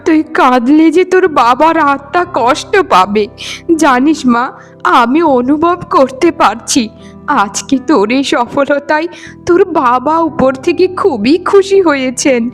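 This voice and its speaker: A woman voicing a gentle widowed mother in a melodramatic cartoon, speaking in a soothing, tender way. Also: high voice, animated, a little slow, soft background music. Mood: consoling, tender. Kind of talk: melodramatic Bengali cartoon dialogue.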